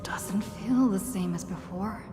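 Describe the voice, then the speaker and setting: A woman acts a lost, guarded game character, speaking slowly in a mid-pitched voice. Her hushed words hang in a long echoing reverb over music. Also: lightly acted. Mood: uncertain. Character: wary, lost woman in a strange place.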